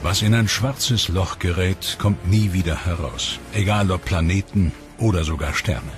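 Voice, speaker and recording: A man with a low voice narrates a science documentary in a measured, ominous tone. He speaks as a scripted studio voice-over. Loud music runs beneath his lines.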